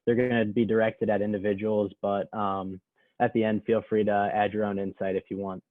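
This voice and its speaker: A man moderating an online panel in a relaxed, casual way, heard through a tinny video-call connection. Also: fairly low pitch, normal speed, dry recording. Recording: tinny dry phone-like call audio.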